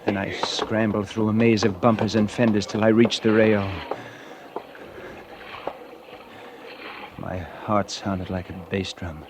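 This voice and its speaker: A man's voice: fairly low pitch, steady tone, normal speed, background noise, lightly acted. An actor playing a cold, calculating murderer who narrates his own crime in a confiding, suspenseful 1940s radio thriller style. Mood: tense, panicked. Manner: confiding, suspenseful, hard-boiled. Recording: vintage radio recording with dramatic music.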